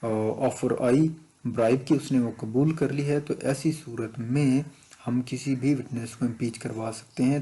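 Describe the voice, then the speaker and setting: Male teacher, Pakistani accent, dark relaxed mid-pitched voice, step-by-step instructional delivery. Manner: methodical, explanatory, switches between reading out and explaining. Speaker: exam prep law teacher. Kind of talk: instructional walkthrough of multiple-choice questions.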